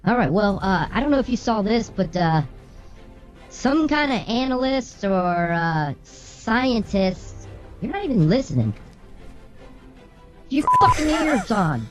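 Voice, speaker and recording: A woman's voice: mid-range voice, big pitch swings, slow. A brash, bossy woman co-hosting a show, reading the news with casual comic banter and swearing. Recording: slightly muffled, music underneath.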